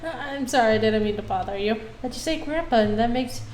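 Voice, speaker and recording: Female game streamer, casual commentary and read-aloud dialogue, higher voice, noticeable room echo. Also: fast talker, a little mellow, a little hiss or hum. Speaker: game livestreamer. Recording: roomy echo, music behind.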